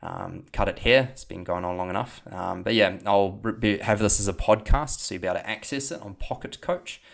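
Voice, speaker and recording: A man's voice: lowish voice, fairly quick, creaky. A young man with a New Zealand accent, a podcast host and coach talking casually with many fillers and restarts. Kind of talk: casual spoken talk and podcast outro.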